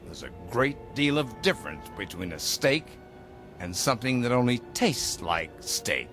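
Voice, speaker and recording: An actor playing an eccentric older gentleman, a secretive mentor with a grandiloquent, fatherly manner in a theatrical radio drama. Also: mid-range voice, big pitch swings, moderate pace, faint music behind. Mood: genial, knowing. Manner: grandiloquent, avuncular, emphatic. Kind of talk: theatrical audio drama acting.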